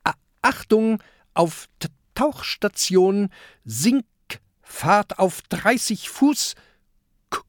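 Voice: medium pitch, animated, drawn-out words, long pauses, no room sound, studio quality, voice pushed up. A narrator voicing a proud but timid male submarine captain who stutters on the first sounds of words, in a storybook reading. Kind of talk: storybook character voice.

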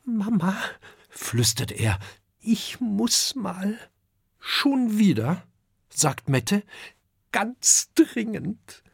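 A bold little boy dragon from a storybook, cheeky and quick to answer back, his voice pitched high and eager, as a man puts him on. It swings lively up and down, with a gravelly, husky grain and a dry, close sound. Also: no room sound, exaggerated voice. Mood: urgent whisper. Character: small excited boy dragon, cheeky and brave.

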